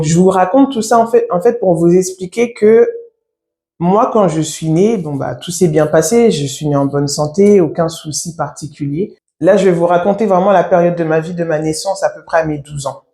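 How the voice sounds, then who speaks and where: Halting woman in her thirties giving testimony. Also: sits low, dark tone. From France. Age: 30s. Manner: halting, reflective, many pauses. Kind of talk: personal faith testimony. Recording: echoey room.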